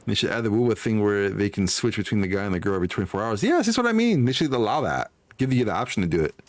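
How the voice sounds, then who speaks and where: A man's voice: lowish voice, big pitch swings, very fast, no echo. A man livestreaming a game, chatting casually with rambling, teasing commentary. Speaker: game streamer. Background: game music.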